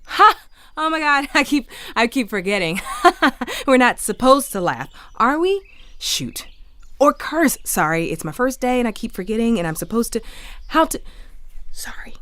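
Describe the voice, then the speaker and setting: Nervous, chatty young widow, very animated. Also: female voice, fairly high pitch, no echo. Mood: giddy, awkward, then flustered, apologetic. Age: young adult. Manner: apologetic, flustered, halting, modern casual phrasing. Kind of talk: naturalistic audio drama acting.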